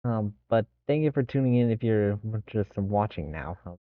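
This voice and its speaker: A man's voice: on the low side, expressive, dry recording. Hesitant man streamer, thin phone-like sound. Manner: hesitant, halting. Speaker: livestream host. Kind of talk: casual livestream sign-off talk.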